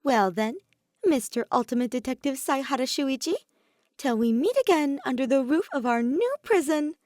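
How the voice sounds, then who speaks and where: A woman voicing a cocky, mischievous teenage boy who talks loud and proud in a teasing way, in a fan fiction audiobook. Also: sits high, expressive, moderate pace, no room sound, clean studio sound, voice pushed up. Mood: boastful, teasing. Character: cocky, mischievous teenage boy, a self-styled supreme leader.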